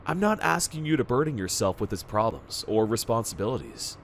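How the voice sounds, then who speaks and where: A man's voice: on the low side, sing-song, brisk, vocal fry, slight background noise. A man acting a solicitous, formal minder to a young prince, speaking politely in a fan radio play. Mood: solicitous, grave.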